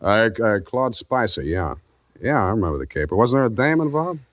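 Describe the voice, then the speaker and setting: An American actor playing a wry, streetwise private detective in an old-time radio drama, speaking in laconic, slangy lines. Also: male voice, lowish voice, sing-song, fairly quick, no room sound. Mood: wry, probing. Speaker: lead actor and first-person narrator. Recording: dull vintage radio recording with music.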